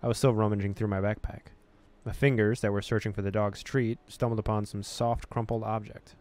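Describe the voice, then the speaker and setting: A man hosting a gaming video, reading game text aloud in an expressive storytelling way with casual, amused asides. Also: fairly low pitch, fairly quick.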